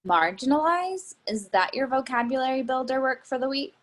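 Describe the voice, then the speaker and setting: A woman's voice: high-pitched, lively intonation, normal speed. A woman acting a suspicious, snobbish middle-aged mother in a play reading, cutting and condescending.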